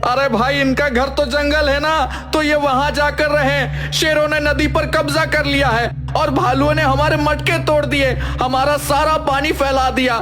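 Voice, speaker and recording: Man as aggrieved villager, squeakily high voice. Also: flat delivery, measured pace, hardly any pauses, pushed and bright, soft background music, full character voice. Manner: protesting, plaintive. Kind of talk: Hindi cartoon voice acting.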